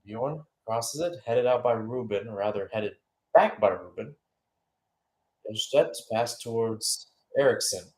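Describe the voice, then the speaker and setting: A man's voice: lowish voice, unhurried, a little mellow, poor audio. A man giving live radio-style football play-by-play, mixing calls of the action with conversational, musing analysis. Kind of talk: live radio sports commentary.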